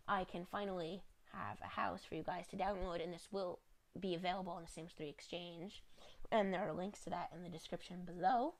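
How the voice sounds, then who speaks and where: A woman's voice: lowish voice, darkish tone. A woman with a slightly congested voice, a little sick, narrating a home-building game video in a rambling, apologetic, chatty way with lots of fillers.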